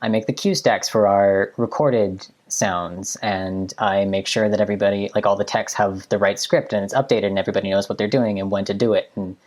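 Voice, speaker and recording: A high-school student in his late teens, a production assistant, chatting casually and rambling with lots of filler words. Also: steady tone, fairly quick, few pauses, a little mellow. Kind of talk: casual chat.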